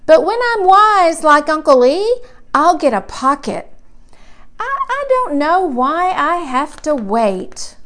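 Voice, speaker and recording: A curious small boy from a children's storybook, as a woman reads him, speaks in a high voice. He takes things literally and puzzles over them slowly, with childlike deliberation. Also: sing-song, fairly bright tone, exaggerated voice. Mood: naive wondering. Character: curious, literal-minded small boy narrating about his great-uncle.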